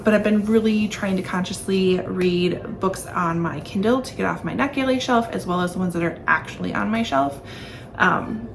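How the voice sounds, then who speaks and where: A woman's voice: medium pitch, low-quality recording. A woman talking casually and enthusiastically as a book reviewer in a chatty, informal vlog style. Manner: enthusiastic, informal, often gushing. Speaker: book reviewer hosting a video channel. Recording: clearly audible background room noise.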